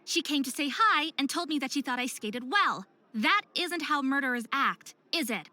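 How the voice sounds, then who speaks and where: A hot-headed young faerie woman from a visual novel game. Her voice is high and piercingly bright, swinging widely as she speaks with emphatic drama. It sounds close and dry. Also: lively intonation, moderate pace, no room sound. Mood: defensive. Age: young adult. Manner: indignant, emphatic, dramatic delivery.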